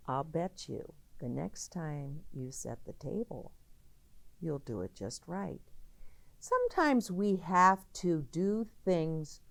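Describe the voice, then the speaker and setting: A woman hosting a children's story time, reading aloud expressively and explaining things directly to young listeners. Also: sits low.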